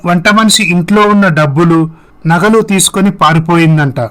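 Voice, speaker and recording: Man voicing a gentle, high-pitched husband. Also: normal speed. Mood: appreciative, sober. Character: grateful, gentle husband and father. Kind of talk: scripted story dialogue.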